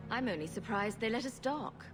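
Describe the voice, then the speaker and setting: A woman's voice: a little high, lively intonation, fairly quick, soft background music, natural voice. An actress playing a determined, questioning refugee woman of good family in a video game, assertive and composed.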